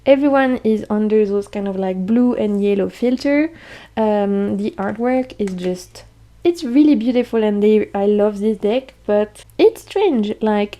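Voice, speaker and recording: Mellow-voiced chatty woman. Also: mid-range voice. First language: French. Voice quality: chatty, enthusiastic, laughs often. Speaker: tarot deck collector. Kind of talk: casual vlog chat showing decks.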